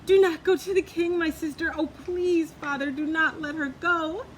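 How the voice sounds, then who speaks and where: A proud young village woman from a storybook, acted out by a woman reader, pleads in a high, hysterical wail. She sounds frightened and frantic. There is clear noise behind her. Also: fairly even intonation, moderate pace. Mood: hysterical pleading. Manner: pleading, wailing. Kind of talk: storybook character voice. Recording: clearly noisy background.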